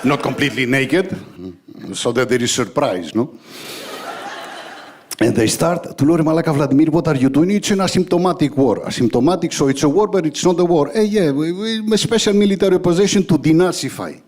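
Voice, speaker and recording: A man from Greece with a Greek accent doing crude stand-up comedy in English as a second language, swearing and dropping in Greek exclamations, with a husky voice. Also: a little high, fairly quick. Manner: animated storytelling with acted-out exchanges.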